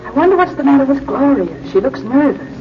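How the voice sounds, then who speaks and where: An actress voicing a bride's fretful, pleading conscience as an inner voice in a 1940s radio drama. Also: female voice, high voice, sing-song, brisk. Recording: muffled 1940s radio with music.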